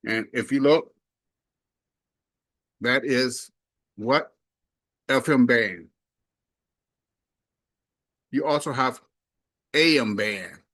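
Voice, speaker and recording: A man's voice: mid-range voice, extremely slow, pauses often, a bit bright, dry recording. A man reviewing a gadget casually and hands-on, talking himself through each step in a folksy, emphatic way. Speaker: product reviewer.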